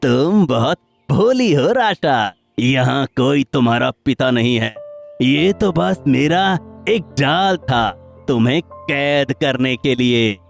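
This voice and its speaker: Man playing a slow-talking proud, lustful landlord. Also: medium pitch, expressive, vocal fry, faint music behind. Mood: gloating. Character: rich older male landlord, lustful, proud and vengeful. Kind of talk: Hindi cartoon moral-story acting.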